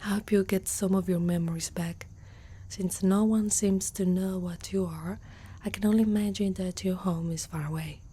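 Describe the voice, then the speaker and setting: Soft, mellow centaur girl, voiced by a woman. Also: medium pitch, fairly even intonation, natural voice. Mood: curious, gentle. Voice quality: soft, breathy, often near whisper. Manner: tender, unhurried, speaking closely to one listener. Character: gentle, protective, curious young female centaur. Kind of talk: ASMR roleplay.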